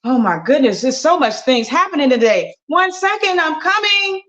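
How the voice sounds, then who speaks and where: A woman voicing a busy, caring mother who talks in a high, fussing way. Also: sing-song, moderate pace, a bit bright. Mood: flustered.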